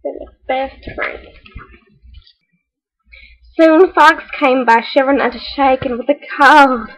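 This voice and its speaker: A woman playing a quirky, earnest read-aloud hostess with a mock foreign accent, telling a children's story with exaggerated delivery and deadpan asides. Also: sits high, slow pace, rough recording.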